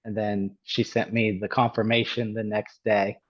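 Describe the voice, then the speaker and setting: A man's voice: lowish voice, little pitch movement, moderate pace, lo-fi sound. A man speaking as a client in a casual video call, plain and a little hesitant.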